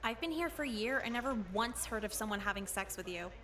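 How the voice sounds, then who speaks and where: A woman voicing a cynical, foul-mouthed teenage schoolgirl in a visual novel game, sarcastic and deadpan. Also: a little high, fast talker. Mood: scornful.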